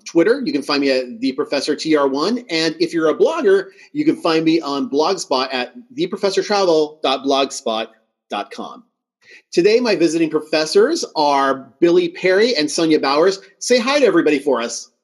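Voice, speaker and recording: A man's voice: a little high, animated, normal speed. A man hosting a podcast in a conversational style, with a welcoming and appreciative manner. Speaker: podcast host.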